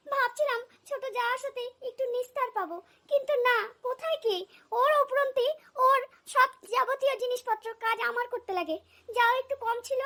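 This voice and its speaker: A woman's voice: extremely high pitch, fairly bright tone. A woman voicing an aggrieved, overworked elder daughter-in-law in a cartoon family comedy, complaining plaintively.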